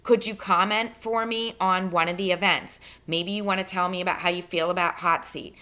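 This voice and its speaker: A woman's voice: on the low side, steady tone, normal speed, sharp tone. A woman reading a novel aloud as a teacher and explaining it directly to her students.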